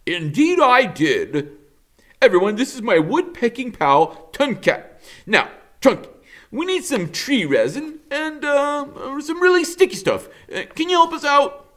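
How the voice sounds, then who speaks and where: A man's voice: very high voice, very animated, unhurried, a bit bright, pitched above the performer's own voice, exaggerated voice. A man voicing a cheerful, confident beaver craftsman in a storybook, with a hearty, slightly stammering, exclamatory delivery. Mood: hearty, calling out. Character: busy, confident old-fashioned male beaver craftsman, cheerful.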